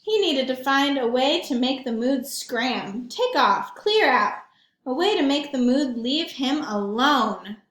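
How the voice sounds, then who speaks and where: High-voiced woman reading aloud to children, roomy echo. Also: takes it slowly. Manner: animated, emphatic, questioning the listeners. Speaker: read-aloud storyteller for young children. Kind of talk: expressive picture-book read-aloud with asides to children.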